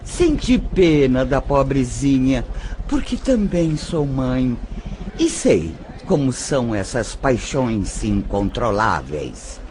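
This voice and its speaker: Calculating, flattering Brazilian madam, acted by a man, unhurried and expressive, audio drama. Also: medium pitch, a little hiss or hum. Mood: feigned pity, calculating.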